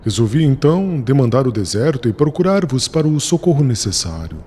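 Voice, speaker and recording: An anguished young man pouring out his troubles, as a man voices him. He pleads earnestly with a swinging, expressive mid-range voice, wrapped in a long hall reverb. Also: medium pitch, brisk, breathy edge. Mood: anguished pleading. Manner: pleading, emotional. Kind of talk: audiobook character reading.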